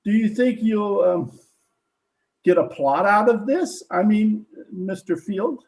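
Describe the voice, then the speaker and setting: A man's voice: high voice, expressive, takes it slowly, darkish tone, exaggerated voice. A man playing a plain-spoken police detective sergeant in an old-time radio drama, with a hesitant, folksy, polite manner. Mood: admiring, bashful.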